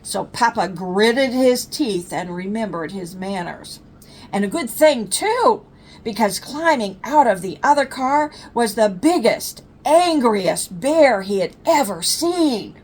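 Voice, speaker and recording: Slow, expressive older woman reading stories. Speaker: storyteller. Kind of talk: children's bedtime story reading. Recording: faint low rumbling background noise.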